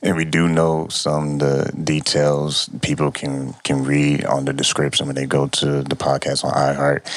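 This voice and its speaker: Very deep, even-toned man. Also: no echo. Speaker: interviewer, host. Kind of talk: conversational interview hosting.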